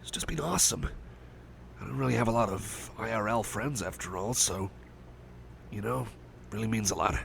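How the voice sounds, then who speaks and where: A man playing a shy, caring, anxious friend in an intimate ASMR roleplay, speaking softly and hesitantly with stammers and gentle, murmured rambling. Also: lowish voice, normal speed, gravelly, pauses often, slight background noise. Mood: shy, affectionate.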